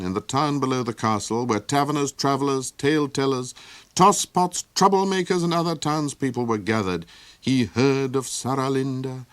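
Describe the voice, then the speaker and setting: A man narrates a fantasy tale in an expressive, theatrical manner. His voice sits at an ordinary middle pitch. The sound is dry and close. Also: no echo. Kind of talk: audiobook storytelling.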